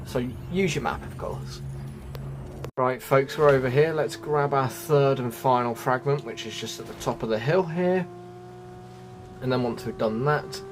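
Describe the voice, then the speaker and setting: A man's voice: mid-range voice, a little hiss or hum. A man giving a chatty, casual game walkthrough, addressing his viewers directly as host. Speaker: gaming video host.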